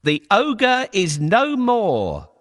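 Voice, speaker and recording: Sly show-off cat for a kids story, high voice, super animated, wheedling and excitable. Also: male voice, drawn-out words, no room sound, clean studio sound, full character voice. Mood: proud, announcing. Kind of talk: children's storybook character acting.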